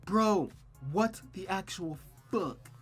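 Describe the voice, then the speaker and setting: A woman's voice: medium pitch, slow pace, slightly rough. A woman streaming a visual novel, moving between story narration and casual commentary. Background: music.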